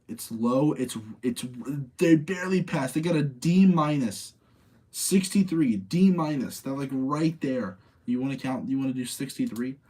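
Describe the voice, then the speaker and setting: Casual male streamer with a slightly husky voice. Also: medium pitch, a little mellow. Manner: opinionated, self-debating, repeats phrases for emphasis. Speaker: streamer hosting a live movie-rating chat. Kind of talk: casual chat with live audience.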